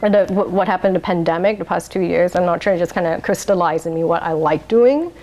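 A woman from Singapore, talking through her life in a rambling oral history interview, laughing often and pausing on um's. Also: lowish voice, a little mellow, poor audio. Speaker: interviewee, wine bar owner.

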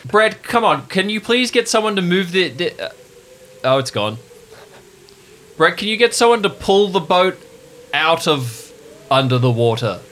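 A man's voice: high-pitched, sing-song, a little slow, long pauses, a bit bright, slight background noise, pitched above the performer's own voice, heavily acted. A man playing a stressed, irritable mayor and father in a tabletop game, curt, insistent and repeating himself. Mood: irritated, bossy. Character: blustering irritated small-town mayor, stressed dad.

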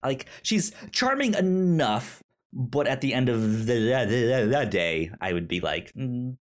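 A man co-hosting a podcast, chatting casually about film in a halting way, often stammering and repeating the starts of words. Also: medium pitch, big pitch swings. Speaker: podcast co-host.

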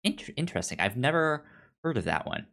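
A man co-hosting a podcast, explaining things in a halting, informal, chatty way. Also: medium pitch, very animated, pushed and bright. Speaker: podcast co-host discussing comics. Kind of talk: casual chat.

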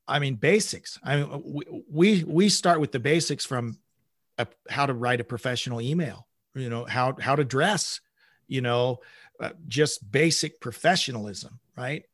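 A man's voice: mid-range voice, a little slow, a bit bright, no echo. Middle-aged man in conversation, dry sound. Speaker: interview guest, manager at a global company. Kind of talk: conversational interview.

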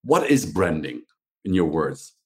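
A man's voice: very deep voice, very animated, unhurried, a little mellow. A man hosting an interview podcast, introducing and questioning in a conversational way. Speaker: podcast host.

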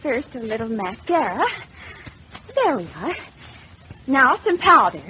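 A woman's voice: on the high side, sing-song, unhurried. An actress playing a bossy, wheedling teenage girl in a theatrical 1940s radio comedy style. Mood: brisk. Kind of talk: 1940s radio sitcom acting. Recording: dull, noisy old radio recording with studio audience.

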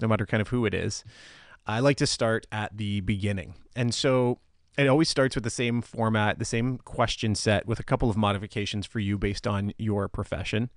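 A man's voice: mid-range voice, no room sound, studio quality. A man hosting a casual podcast interview, encouraging and polite, with hesitant phrasing. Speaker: podcast host.

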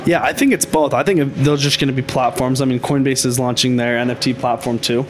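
A man speaking as a guest on a podcast, explaining his crypto consulting business in a confident, pitching way, often saying "I think". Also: fairly even intonation, fairly quick. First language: Haitian Creole speaker. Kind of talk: casual podcast interview.

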